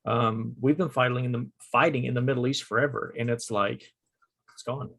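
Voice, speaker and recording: A man in his forties hosting a casual podcast chat, talking with halting restarts and frequent check-ins. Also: mid-range voice, fairly even intonation, gravelly, a bit bright. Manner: conversational, repeats "okay?" to check in, halting restarts. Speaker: podcast host. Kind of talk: casual conversation.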